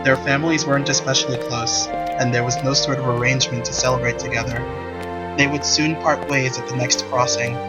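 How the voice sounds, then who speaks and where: A man's voice: medium pitch, level tone, a little fast, over loud music. A man reading scripted story narration for a visual novel.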